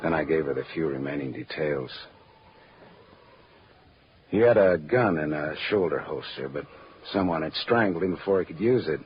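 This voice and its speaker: A very low-voiced, hardboiled American private eye, wry and terse. It is 1940s radio drama, dull in sound, with heavy noise over the old recording. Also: male voice, lively intonation, normal speed, long pauses. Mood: grave, matter-of-fact. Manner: terse, sardonic. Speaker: lead actor and narrator in radio detective drama.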